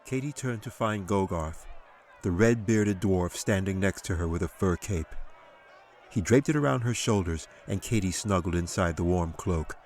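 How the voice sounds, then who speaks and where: A man reads a fantasy audiobook in a low-pitched voice. His delivery is measured and dramatic, in the manner of scripted storytelling. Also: a little mellow. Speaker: author reading own audiobook.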